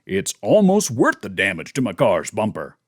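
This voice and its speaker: A man voicing a plain-spoken, folksy simple man in a commercial, with drawn-out emphasis. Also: on the low side, wildly swooping pitch, no echo, clean studio sound. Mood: earnest, hungry. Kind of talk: commercial voice-over read.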